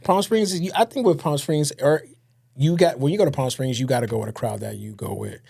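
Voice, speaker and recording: A man with a gravelly edge to his voice co-hosts a casual podcast. His talk is laid-back and slangy, with swearing mixed in. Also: mid-range voice, a little fast, darkish tone. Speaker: podcast co-host.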